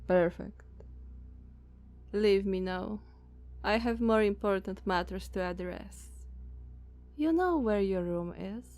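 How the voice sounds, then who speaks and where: A woman's voice: mid-range voice, takes it slowly, long pauses, darkish tone. A woman with a Slavic accent playing a haughty, dominant, ancient female vampire in an ASMR roleplay, condescending and commanding, sometimes slipping toward a whisper. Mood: cool, dismissive.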